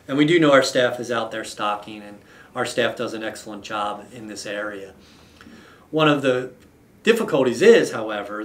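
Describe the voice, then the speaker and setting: A man in his thirties speaks as an official in an interview, at a middling pitch. His delivery is formal and measured, with deliberate pauses. The room is echoey and the microphone sits a little way off. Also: fairly bright tone. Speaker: state wildlife agency official. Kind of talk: explanatory on-camera interview. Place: indoor office with wall map. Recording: roomy echo, slightly distant mic.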